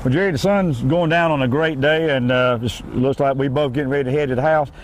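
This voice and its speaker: A man's voice: mid-range voice, quick pace, slight background noise, poor audio. A man hosting a folksy interview outdoors at a horse event, chatty with fillers. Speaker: show host.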